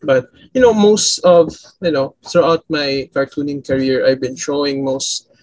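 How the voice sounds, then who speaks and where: A man with a Filipino accent answering as an interview guest, conversational and halting, circling back over his phrases with frequent fillers. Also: on the high side, fairly even intonation, darkish tone, dry recording, low-quality recording. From Philippines. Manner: halting, repetitive, frequent "you know" filler.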